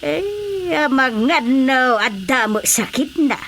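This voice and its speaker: An older Ilocano mother in a radio drama, fretting over her child. Her voice is high and very animated, with slow, drawn-out exclamations over faint night-time noise. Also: heavily acted. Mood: worried, dismayed. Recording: faint background noise, cricket ambience.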